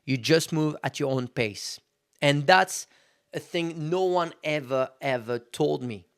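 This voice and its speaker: A man's voice: a little high, slow, smooth. A man in his thirties hosting a podcast, talking casually and candidly, swearing freely and calling his listeners guys. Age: 30s. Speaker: podcast host. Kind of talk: casual motivational monologue.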